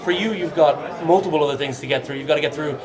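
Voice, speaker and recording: A man's voice: a little high, fast. Chatty man from Ireland interviewing. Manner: chatty, laughs easily. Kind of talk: casual sports interview. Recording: noisy crowd behind. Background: crowd chatter.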